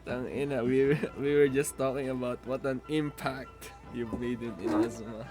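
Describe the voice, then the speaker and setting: A man's voice: mid-range voice, steady tone, normal speed, a little mellow, faint music behind, low-quality recording. A man with a Filipino accent, a game streamer chatting casually as he plays. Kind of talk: casual chat reacting to a game.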